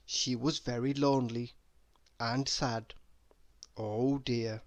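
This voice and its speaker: A narrator reading a children's story aloud in a deliberate, expressive storytelling manner. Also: medium pitch. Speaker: storybook reader.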